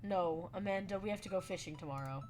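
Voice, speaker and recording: A woman voicing a competitive middle-aged dad in a video game, speaking in a lowered, earnest dad voice. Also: fast, a little mellow, soft background music, lightly acted. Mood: deadpan.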